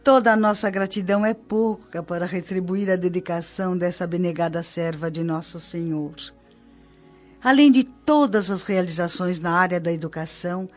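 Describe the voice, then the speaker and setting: An actress with a Brazilian accent playing a devoted spirit-colony guide in a radio drama, explaining things in a reverent, articulate way. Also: female voice, fairly low pitch, darkish tone, natural voice. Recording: dull lo-fi audio, clear music behind. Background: music.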